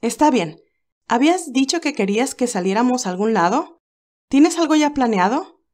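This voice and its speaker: A woman voicing a young nurse heroine, nervous and stammering, a little higher than her narration. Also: animated, normal speed, darkish tone, lightly acted.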